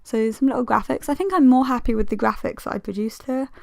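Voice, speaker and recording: Young woman, slightly strained creaky voice. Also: on the high side, fast talker, a little mellow, dry recording, studio quality. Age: 20s. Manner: self-deprecating, chatty, rambling. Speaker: illustrator and video host. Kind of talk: casual sketchbook tour commentary.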